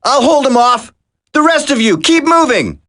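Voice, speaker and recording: Young game commando, earnest n urgent, pitch jumps around a lot. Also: male voice, sing-song, normal speed, a bit bright, exaggerated voice. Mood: determined. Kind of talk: video game voice acting.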